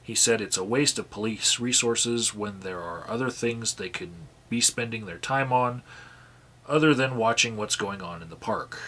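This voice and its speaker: Sighing man with a bright, forceful voice. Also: on the low side. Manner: sighing, halting restarts between read passages. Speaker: activist vlogger reading out call logs. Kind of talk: reading records aloud with commentary.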